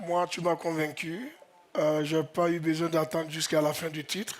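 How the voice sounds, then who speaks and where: A man gives a coach's quick praise in a fairly high, flat voice. He sounds a little distant from the mic. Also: slight background noise. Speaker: talent show coach.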